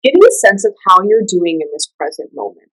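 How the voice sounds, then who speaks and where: A woman leading a guided meditation, giving gentle instructions in a soothing, unhurried and conversational way. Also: mid-range voice, big pitch swings, slightly rough, low-quality recording.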